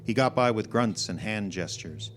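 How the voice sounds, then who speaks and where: A man's voice: fairly low pitch, expressive, normal speed, a little hiss or hum. A man reading a scripted story as a narrator, recorded live in a performance club. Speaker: reader of a ghost story.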